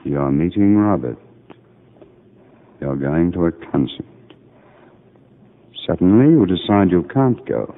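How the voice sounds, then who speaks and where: Vintage narrow-band radio drama, calm psychiatrist, lowish pitch, measured, probing, authoritative. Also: male voice, heavily acted. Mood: soothing, commanding. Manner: measured, insistent, deliberate. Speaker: actor playing a doctor who also narrates. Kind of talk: 1950s radio drama acting, narration and hypnotic questioning. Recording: band-limited 1950 radio broadcast with music.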